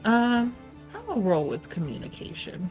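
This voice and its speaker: A woman playing a tabletop roleplaying game, casually musing out loud over what her character should do. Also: fairly high pitch, expressive, unhurried, soft background music.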